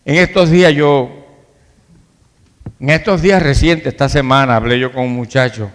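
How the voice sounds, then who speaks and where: A man's voice: mid-range voice, fairly even intonation, moderate pace. A man with a Dominican accent preaching as a pastor, emphatic yet conversational, repeating phrases for emphasis. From Dominican Republic. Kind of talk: sermon. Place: large reverberant room, church service. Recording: hall reverb.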